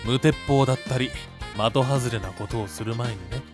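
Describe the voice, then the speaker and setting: Guy voicing kind schoolboy big bro type, mid pitch, softly teasing, anime drama w music behind. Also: normal speed, close to an everyday voice. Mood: gently teasing. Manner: gentle, teasing, reassuring. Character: kind, perceptive big-brotherly high school boy, drama-club actor. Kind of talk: Japanese anime-style voice drama acting.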